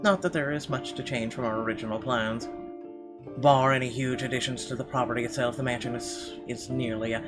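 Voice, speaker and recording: A man's voice: mid-range voice, steady tone, fairly quick. A man hosting a gaming video, reading visual novel narration aloud with conversational asides. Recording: game music under the voice.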